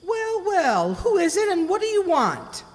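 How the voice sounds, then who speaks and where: A woman's voice: very high voice, big pitch swings, measured pace, heavily acted. A woman playing a cross, suspicious household cook in a radio play, scolding and brusque. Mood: brusque suspicion. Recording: echoey hall with long reverb.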